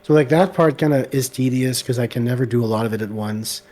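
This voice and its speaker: Brief: male, French first language, slightly gravelly, hesitant casual talker, mid-range pitch, laptop mic. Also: fast talker, a little mellow. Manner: hesitant, many "like" fillers. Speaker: guest video creator. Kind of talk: casual podcast chat. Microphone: laptop microphone.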